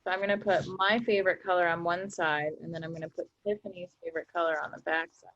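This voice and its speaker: A woman's voice: lowish voice, level tone, quick pace, a bit bright, highs cut off. A young woman presenting a workshop, cheerfully explaining each craft step as she works. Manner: upbeat, explains steps while working. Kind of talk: instructional craft demonstration.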